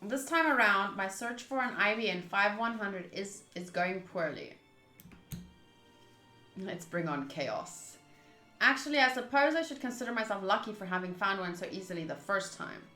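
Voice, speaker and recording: A woman's voice: medium pitch, lively intonation, moderate pace, sharp tone. A chatty woman doing casual playthrough commentary, thinking aloud and reading game text. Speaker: host of a visual novel playthrough. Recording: roomy echo, game music behind. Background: game music.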